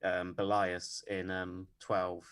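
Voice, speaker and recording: Male podcast guest, deep monotone, deadpan delivery, measured and hesitant. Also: clear, resonant tone. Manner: measured, lots of ums and hedges. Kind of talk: casual interview chat.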